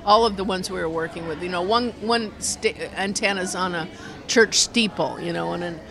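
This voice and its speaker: A community radio organizer talks in a casual interview at a mid-range pitch. The explanations ramble along with animation, circling back and piling up detail. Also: normal speed, vocal fry, a crowd in the background. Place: inside a brewery restaurant.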